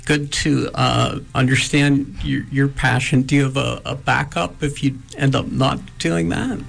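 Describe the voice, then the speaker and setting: A man hosting an interview, asking students questions in a halting way with many pauses. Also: mid-range voice, steady tone, gravelly, soft background music, low-quality recording. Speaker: interviewer host.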